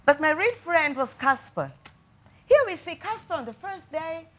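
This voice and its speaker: A woman's voice: high voice, very animated, normal speed, a little hiss or hum. A woman from Israel, speaking as a tour guide in an apologetic, chatty, confiding way. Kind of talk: stage monologue.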